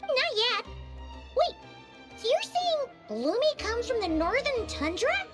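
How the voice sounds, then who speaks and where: A woman voicing a tiny, excitable flying fairy girl in a video game, with a squeaky childlike voice and a dramatic manner. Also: slow pace, heavily acted. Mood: chirpy, curious. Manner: speaks of herself in the third person, exclamatory. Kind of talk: video game character dialogue. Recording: music behind the voice.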